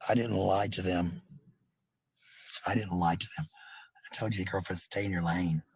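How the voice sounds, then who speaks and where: A man ranting informally in a raspy voice, combative and sweary, repeating points for emphasis. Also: low-pitched, steady tone, moderate pace, no echo. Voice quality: husky, raspy. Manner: combative, profane, repetitive. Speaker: parent speaking in a clip. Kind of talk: angry informal rant.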